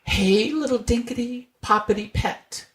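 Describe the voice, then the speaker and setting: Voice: mid-range voice, a little slow, voice pushed up, close to an everyday voice, low-quality recording. A narrator voicing a chorus of tiny, merry, cheeky mice in thin, twittering singsong for a storybook. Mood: gleeful, singsong. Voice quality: small, thin, twittering voices. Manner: singsong nursery-rhyme chanting.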